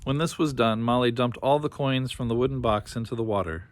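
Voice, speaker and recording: A man reading a children's story aloud as an expressive storyteller. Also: mid-range voice, fairly quick.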